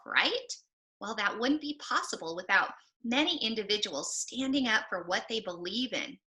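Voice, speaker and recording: A woman with a bright, forceful voice presents books to children. Her pitch sits on the high side and moves in lively, expressive swings as she poses questions and explains the answers. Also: low-quality recording. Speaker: library presenter. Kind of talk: book talk for children.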